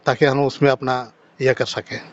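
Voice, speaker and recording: A man's voice: mid-range voice, little pitch movement, normal speed. A man giving casual interview answers as a protest supporter, restarting his phrases, on a dull recording. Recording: dull, lo-fi audio.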